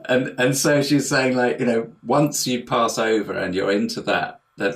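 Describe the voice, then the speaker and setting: A man talking as an interview guest over an online call, explaining his ideas in a hesitant, digressive way, often repeating words. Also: on the low side. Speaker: interview guest, house healer and life coach.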